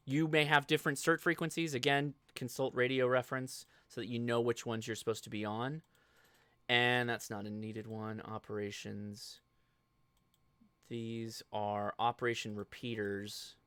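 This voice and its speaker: An American man hosting a live show, giving a casual, informal software walkthrough and thinking aloud as he goes. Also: medium pitch, smooth, dry recording. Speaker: host of a live ham radio show.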